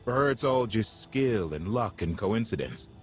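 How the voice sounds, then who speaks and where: Actor as low-voiced, earnest ex-military pilot. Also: male voice, lively intonation, moderate pace, lightly acted. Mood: upbeat. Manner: conversational, earnest. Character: earnest, idealistic male pilot, ex-military deserter. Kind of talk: naturalistic video game acting. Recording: faint music behind the voice.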